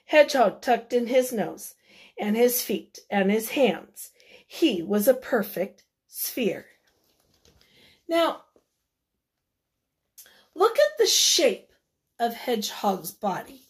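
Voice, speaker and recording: A woman reading a picture book aloud to children, expressively and deliberately, with dramatic emphasis. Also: on the high side, very animated, husky. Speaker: children's read-aloud storyteller.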